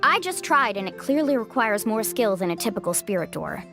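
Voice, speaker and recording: A woman's voice: medium pitch, very animated, a little fast, music in the background. A woman playing a brave, easygoing young woman adventurer in a fantasy video game, confident, reassuring and upbeat. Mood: composed, instructive. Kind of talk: animated video game voice acting.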